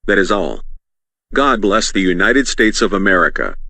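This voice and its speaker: Guy playing a solemn government leader, lowish forceful voice, formal apologetic address to the nation. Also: sing-song, moderate pace, no echo, full character voice. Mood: solemn resolve. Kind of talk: scripted presidential-style address.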